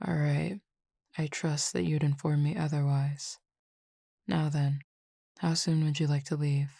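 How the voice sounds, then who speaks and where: Woman acting out a composed supernatural cupid, formal, gravelly, flat delivery, dry close sound. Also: low-pitched, no room sound, heavily acted. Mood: calm. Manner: precise, formal wording, measured and unhurried, soft asides. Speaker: voice actor in an audio roleplay. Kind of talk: audio roleplay addressed to the listener.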